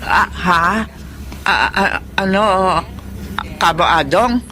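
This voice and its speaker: A woman playing a gentle, apologetic elderly mother in a radio drama, with a halting, motherly way of talking. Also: on the low side, animated, noisy background. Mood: flustered.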